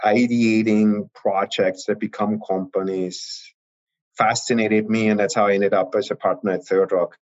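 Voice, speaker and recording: A man in his fifties with an Austrian accent, a guest talking in a relaxed, rambling way with many fillers. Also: lowish voice, moderate pace. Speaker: guest, venture partner. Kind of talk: casual interview talk. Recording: dull lo-fi audio.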